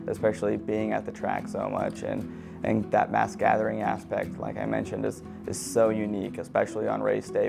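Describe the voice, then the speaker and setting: A young man describing his years working at the racetrack and his mentor, with steady repetitions. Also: on the low side, creaky. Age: young adult. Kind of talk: interview testimony. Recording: guitar music underneath.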